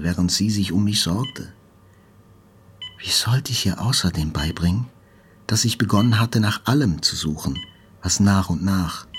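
An actor playing a wounded journalist, narrating his hospital recovery in a measured, reflective literary style. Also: low-pitched, a little hiss or hum, close to an everyday voice. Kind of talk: scripted literary narration, radio play.

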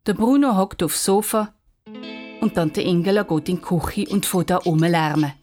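Low-voiced Swiss woman storyteller over music. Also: moderate pace, a little mellow. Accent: Swiss German. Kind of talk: scripted children's story narration.

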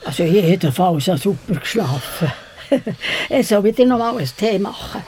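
A woman's voice: medium pitch, animated, moderate pace, warm tone, natural voice. An actress with a Swiss German accent playing a doting, eerily motherly old mountain woman in an audio drama. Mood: cheerfully doting. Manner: cheerful, fussing. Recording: some background noise and ambience.

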